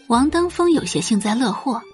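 Expressive female story narrator, lively intonation. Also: a little high, normal speed, faint music behind. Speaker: audiobook narrator. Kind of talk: scripted dramatic story narration.

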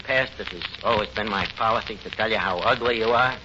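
An actor playing a melodramatic, self-important, uneducated tavern manager with a New York accent, mangling lofty phrases in 1940s radio comedy style. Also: male voice, on the low side, fast, lightly acted. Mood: sheepish farewell. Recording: noisy, muffled 1940s radio recording.

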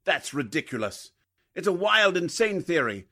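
A man's voice: high voice, big pitch swings, moderate pace, bright, forceful tone, exaggerated voice. An actor playing an indignant murder suspect protesting his innocence in a 1940s-style radio drama.

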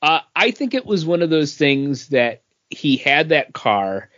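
A man's voice: on the high side, very animated, unhurried, a bit bright, dry recording, muffled recording. A man co-hosting a podcast, talking casually about pop culture, hesitant and often circling back over his phrases. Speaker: podcast co-host.

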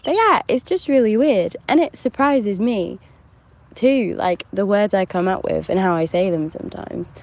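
A woman's voice: mid-range voice, animated, normal speed, vocal fry, a little mellow, highs cut off. A young woman from Hampshire with a shifting, mixed English accent, leaving a chatty, giggly voicemail for a podcast. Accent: mixed English, shifting between southern and northern. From Hampshire, England. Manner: chatty, giggly, self-deprecating. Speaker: caller.

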